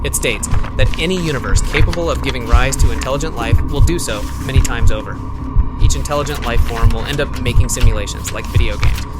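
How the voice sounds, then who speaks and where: A man's voice: mid-range voice, quick pace, lightly acted, low-quality recording. A man playing an obsessed programmer full of existential dread, explaining intensely and earnestly in an audio drama. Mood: uneasy, absorbed. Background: prominent music bed.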